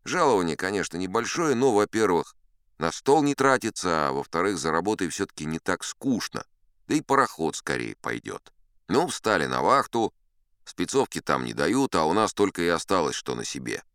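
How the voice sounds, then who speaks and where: Man playing a deep-voiced, wry old-salt sea captain. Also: very animated, normal speed, fairly bright tone, clear, resonant tone, dry recording, clean studio sound. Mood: wry, unhurried. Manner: conversational, wry, leisurely asides to the listener. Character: seasoned sea captain spinning tall tales, unflappable, chatty. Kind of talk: theatrical audiobook storytelling in first person.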